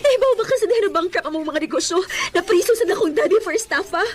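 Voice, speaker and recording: A woman's voice: very high voice, animated, fast talker. An actress with a Cebuano Filipino accent playing a proud, wounded single mother, pleading and emotional, slipping into English in a radio drama. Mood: bitter, tearful. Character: proud, wounded single mother who hid her child's father. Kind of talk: Bisaya radio drama acting.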